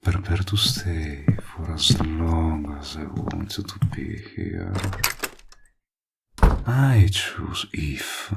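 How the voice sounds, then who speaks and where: A man playing a smug, possessive villain in an audio roleplay, flirtatious and menacing, with a husky, breathy voice and a slow, teasing delivery that dips toward whispers. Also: very deep voice, heavy background noise, heavily acted. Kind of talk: roleplay audio acting, intimate monologue.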